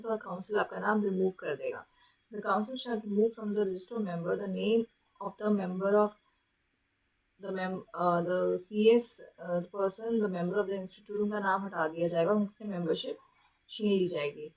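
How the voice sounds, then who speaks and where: A woman's voice: medium pitch, darkish tone, poor audio. A woman with an Indian accent lecturing to students as a teacher, switching between two languages with frequent check-in tags, speaking with a sore throat. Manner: mixes Hindi and English, frequent check-in tags. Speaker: teacher of an online exam-prep class.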